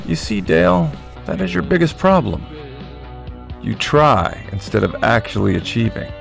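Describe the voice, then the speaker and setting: An actor playing a cold, domineering, crude crime-boss father in a radio drama, contemptuous and deliberate. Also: fairly low pitch, very animated, gravelly, loud music behind the voice, exaggerated voice. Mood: cold contempt.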